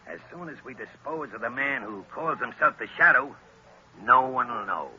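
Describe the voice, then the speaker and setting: A snarling radio gang boss. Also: male voice, medium pitch, lively intonation, normal speed. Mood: scheming. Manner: barking orders, sneering, shouting. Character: brutal gang boss man, hot-tempered and sneering. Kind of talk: 1940s radio acting. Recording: lo-fi old radio broadcast with faint hiss.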